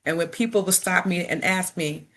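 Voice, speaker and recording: Middle-aged chicago woman who stutters a lot, giving an earnest pep talk, kinda low voice. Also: normal speed, fairly bright tone. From Chicago, United States. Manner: stutters, repeating words and sounds; earnest and encouraging. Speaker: motivational speaker. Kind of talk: personal talk to an audience.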